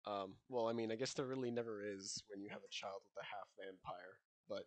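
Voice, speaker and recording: A man's voice: lowish voice, fairly even intonation, brisk. A young man co-hosting a casual book podcast, talking in a rambling way with lots of fillers and frequent laughs. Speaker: podcast co-host.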